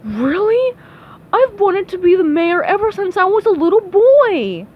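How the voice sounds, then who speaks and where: A high, swooping, excitable cartoon townsman who wears his feelings openly acted by a woman reading a storybook. The recording is dull with faint noise. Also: very slow, heavily acted. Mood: delighted. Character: emotional, easily delighted cartoon townsman. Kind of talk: read-aloud children's cartoon storybook.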